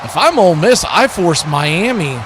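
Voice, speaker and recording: Male sports commentator, pitched a bit high, conversational live football calls and analysis, recorded over noticeable ambient noise. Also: big pitch swings, normal speed. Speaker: play-by-play commentator hosting a watch party stream.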